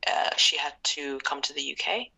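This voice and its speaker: A young woman from Zimbabwe speaking as an interview guest, her very low voice full of gravelly fry. She talks reflectively with lots of fillers and often drops into breathy near-whispers. Her tone still comes through bright and sharp. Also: normal speed, low-quality recording. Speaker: podcast guest. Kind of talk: casual interview conversation.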